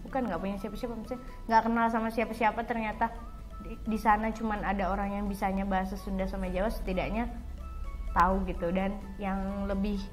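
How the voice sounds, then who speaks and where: A woman's voice: medium pitch, little pitch movement, fairly quick. A young woman from Java, co-hosting a casual, informal chat in which she explains words to viewers. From Java, Indonesia. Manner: informal, addresses viewers as friends. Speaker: co-host of a language vlog. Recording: background music.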